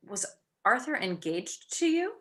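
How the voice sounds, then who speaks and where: A woman's voice: on the high side, big pitch swings, a little slow, fairly bright tone. A woman playing a clever, composed young society wife turned amateur sleuth, wry and questioning, in an old-time radio mystery comedy. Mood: inquiring.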